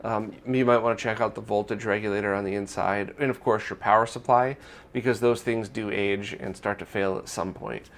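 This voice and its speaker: A man's voice: on the low side, steady tone, fairly quick, a little hiss or hum. A man hosting a news roundup, explaining updates to viewers in a conversational, semi-scripted way. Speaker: host of a retro gaming news roundup.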